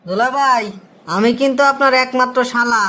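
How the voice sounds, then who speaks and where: A man's voice: extremely high pitch, fairly even intonation, normal speed, pushed and bright, voice pushed up. A man voicing a cheeky, demanding young brother-in-law in a cartoon, with a Bangladeshi accent. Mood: wheedling. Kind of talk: cartoon dialogue.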